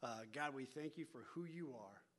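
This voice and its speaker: A man's voice: medium pitch, lively intonation, moderate pace, a bit bright. Man in his forties, North Texas accent, folksy pastor teaching a church group, chatty with lots of restarts. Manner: folksy, self-deprecating, many restarts and filler words. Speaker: church education pastor leading a workshop. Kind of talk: casual talk to a church group, opening with prayer.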